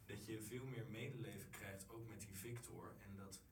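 A young man in a casual group discussion, searching haltingly for words, recorded from a distance in an echoey, noisy room. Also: on the low side, fairly even intonation. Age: young adult. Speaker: drama student discussing a play. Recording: echoey room, distant mic, loud noise.

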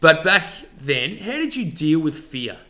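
A man's voice: on the high side, very animated, unhurried, sharp tone. A man hosting a podcast, asking the guest probing, conversational questions. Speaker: podcast host. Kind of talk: interview questions.

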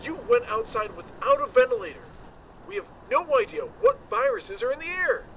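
A frantic, scolding crewman in a sci-fi audio drama. His voice is extremely high and leaps around wildly, set in noisy ambience. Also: sing-song, normal speed, fairly bright tone, heavily acted. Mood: panicked scolding. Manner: agitated, exclamatory.

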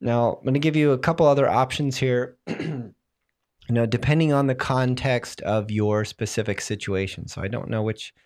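A man hosting a solo tech podcast, explaining software settings while fighting a cold, clearing his throat now and then. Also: mid-range voice, normal speed. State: has a cold, voice strained. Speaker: podcast host.

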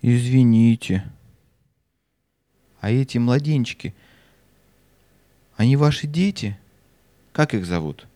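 A man's voice: medium pitch, lively intonation, slow pace, long pauses, mellow, no echo. A man playing a polite, brave, determined young boy in a fairy-tale audio play. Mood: polite, curious.

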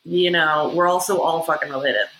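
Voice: mid-range voice, steady tone, moderate pace, bright, forceful tone, a little hiss or hum, poor audio. A speaker in casual conversation about spirituality, talking emphatically with laughs and frequent swearing.

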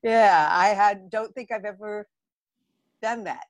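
A woman's voice: medium pitch, takes it slowly, no room sound, highs cut off. A woman with an American accent chatting casually as an interview guest who works as a theater director. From Los Angeles area, United States. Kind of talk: casual conversation.

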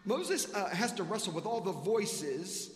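A man's voice: sits high, big pitch swings, unhurried. A man preaching a sermon as a pastor, building earnest questions through repetition. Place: large reverberant church sanctuary. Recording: echoey hall with long reverb.

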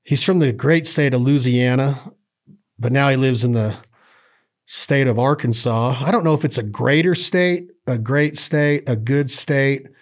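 A man's voice: mid-range voice, measured pace. Rambling man hosting a podcast. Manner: rambling, joking, folksy. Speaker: podcast host. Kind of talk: casual podcast chat and ad reads.

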